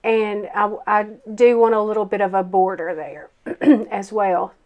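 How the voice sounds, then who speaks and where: A woman's voice: mid-range voice, fairly even intonation, a little slow, dull audio. A woman, a grandmother, chatting through a card-making tutorial with a froggy voice from a summer cold, full of ums and self-deprecating asides. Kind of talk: casual craft tutorial voice-over.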